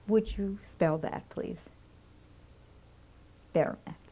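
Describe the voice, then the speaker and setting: Measured woman interviewer, mellow tone. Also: mid-range voice, slightly rough. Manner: measured, methodical questioning. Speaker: oral history interviewer. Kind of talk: structured interview questions.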